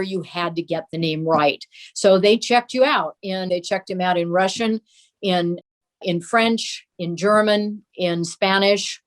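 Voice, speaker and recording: An older woman reminiscing conversationally as an interview guest, telling anecdotes in a measured way. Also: medium pitch. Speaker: interview guest recalling a parent's radio career.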